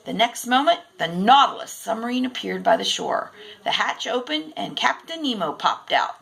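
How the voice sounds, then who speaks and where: A woman reading a children's storybook aloud in expressive, deliberate narration. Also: a little high, vocal fry. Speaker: storybook reader.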